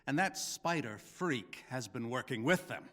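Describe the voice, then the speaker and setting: Bitter older scientist in a reverberant hall. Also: male voice, mid-range voice, expressive, fairly bright tone. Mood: contemptuous. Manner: contemptuous, formal, deliberate menace. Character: bitter, vengeful older male scientist, cold and menacing. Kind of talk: dramatic video game voice acting.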